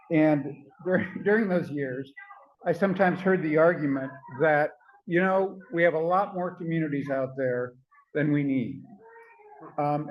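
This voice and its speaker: An older man with a soft voice, giving an earnest, measured conference talk with frequent ums and uhs. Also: a little high, lo-fi sound. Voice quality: soft-spoken. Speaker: conference speaker. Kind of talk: prepared talk at a symposium.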